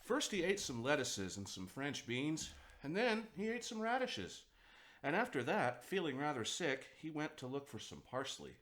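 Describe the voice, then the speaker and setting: A man's voice: on the high side, sing-song, moderate pace, fairly bright tone. A man narrating a children's picture book as a storyteller, reading expressively for young listeners.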